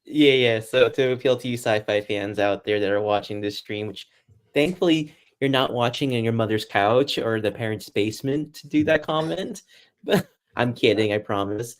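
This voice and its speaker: A man's voice: medium pitch, normal speed. A man from Boston with an American accent, chatting casually and with self-deprecation as a guest sports journalist. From Boston, United States. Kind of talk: casual livestream chat.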